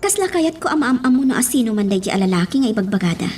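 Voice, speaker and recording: An actress playing a curious, pleading, headstrong young daughter in a radio drama. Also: female voice, fairly high pitch, very animated, fast, darkish tone, a little hiss or hum. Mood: complaining.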